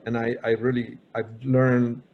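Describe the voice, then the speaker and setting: Polish-accented male panelist, flat and gravelly, halting delivery over a video call. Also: mid-range voice, muffled recording, a little hiss or hum. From Poland. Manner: halting, repeats words often. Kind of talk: casual panel discussion.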